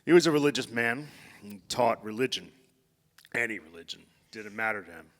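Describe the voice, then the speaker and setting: A man playing a grave, weary army general in a live staged reading, speaking in measured, declamatory phrases. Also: fairly low pitch, animated, natural voice. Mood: solemn. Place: theatre stage before an audience. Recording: live theatre stage, long hall reverb.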